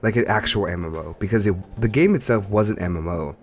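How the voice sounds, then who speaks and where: Low-voiced man chatting on a gaming podcast. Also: fast, faint music behind. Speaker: podcast host. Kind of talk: casual gaming podcast chat.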